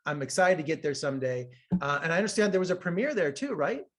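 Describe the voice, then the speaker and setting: A man from Las Vegas, a film critic, interviews an actor in a relaxed way. His voice is a little higher than most men's. He is generous with compliments and pads his questions with fillers. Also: fast talker.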